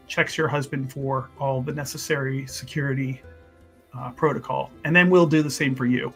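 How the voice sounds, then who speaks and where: A man's voice: on the high side, gravelly. A man playing in a tabletop roleplaying game, improvising in character with a hesitant, roundabout, repetitive way of talking. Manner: hesitant, repeats words, roundabout.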